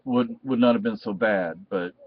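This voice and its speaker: A man's voice: lowish voice, no echo, narrow, tinny audio. A middle-aged American man talking casually off the cuff, rambling and often restarting his sentences. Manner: rambling, halting with repeated restarts. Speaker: video reviewer. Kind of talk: casual unscripted talk.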